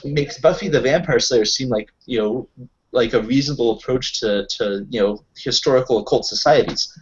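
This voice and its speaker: A man's voice: mid-range voice, normal speed, slight background noise. A man improvising as a player in a roleplaying game, with a hesitant, wordy way of talking. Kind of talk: in-character improvised roleplay chat. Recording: dull, lo-fi online voice chat.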